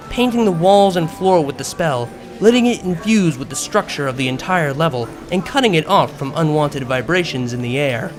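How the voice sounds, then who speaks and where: Animated higher-pitched man narrating a story. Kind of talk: scripted audiobook-style story narration. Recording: music behind the voice.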